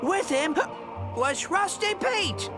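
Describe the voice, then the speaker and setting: A cheerfully dim, tipsy robot pirate chatters like everyone's mate. The voice is very high and swoops about with bumbling showmanship, over video game music. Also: male voice, expressive, slow pace, full character voice. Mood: grandiose. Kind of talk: comic video game dialogue.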